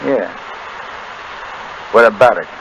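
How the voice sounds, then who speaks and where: An actor playing a tough, suspicious, jumpy crook with curt slangy talk in 1930s radio style. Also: male voice, on the low side, slow pace. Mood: wary, defiant. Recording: noisy 1930s radio broadcast recording.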